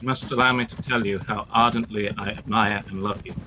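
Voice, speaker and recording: An actor playing a proud young gentleman in a live-read radio play, earnest and restrained. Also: fairly low pitch, steady tone, a little fast, noisy background, close to an everyday voice. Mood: ardent.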